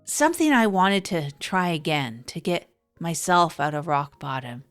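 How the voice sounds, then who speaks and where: Female actor as hapless radio show host; earnest, halting, self-deprecating monologue over faint music. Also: sits low, animated. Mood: earnest, reflective. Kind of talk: improvised comedy audio drama monologue.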